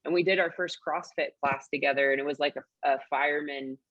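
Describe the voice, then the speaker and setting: A woman's voice: deep voice, fairly quick, no echo, lo-fi sound. An Australian woman in her late thirties, a podcast guest talking casually and bluntly, swearing freely as she tells stories. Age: late 30s. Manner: blunt, profane, animated storytelling.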